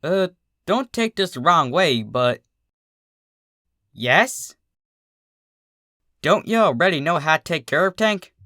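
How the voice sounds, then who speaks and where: An easygoing young female farm pony from a storybook, voiced by a narrator. The delivery is folksy and teasing, with a bright, lively tone. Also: mid-range voice, slow, long pauses, no room sound, studio quality, voice pushed up. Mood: hesitant.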